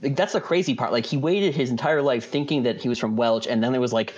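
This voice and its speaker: A man's voice: medium pitch, quick pace, slightly rough, muffled recording. Rambling man telling a story. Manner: rambling, animated storytelling, lots of "like". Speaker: co-host. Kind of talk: casual podcast chat.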